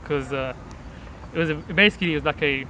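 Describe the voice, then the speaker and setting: A man's voice: fairly high pitch, fast talker. A man hosting a casual interview outdoors in the cold, chatty and encouraging. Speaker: interviewer, channel host. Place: outdoors in the cold, urban area. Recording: lo-fi outdoor recording with clear street noise.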